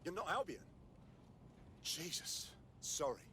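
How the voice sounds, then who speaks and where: An actor playing a frightened street artist in a video game, talking in a nervous, pleading, colloquial way. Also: male voice, on the high side, very animated, takes it slowly, pushed and bright, a little hiss or hum, heavily acted. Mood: panicked. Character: frightened male street artist who witnessed violence. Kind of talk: dramatic video game acting.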